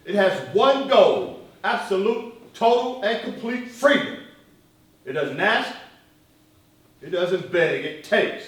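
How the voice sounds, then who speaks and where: Heard far off in a very echoey hall, a male stage actor plays a defiant militant leader with a high-pitched male voice. He argues like an accusing orator, leaning hard on words and pausing deliberately. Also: lively intonation. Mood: fierce, resolute. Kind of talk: live stage play acting. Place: stage in a reverberant room, recorded from afar. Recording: echoey hall, distant microphone.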